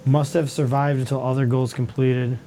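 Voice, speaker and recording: A man giving casual, playful commentary while playing a space combat game, reacting quickly and laughing often. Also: mid-range voice, little pitch movement, warm tone, a little hiss or hum. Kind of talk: casual let's-play commentary.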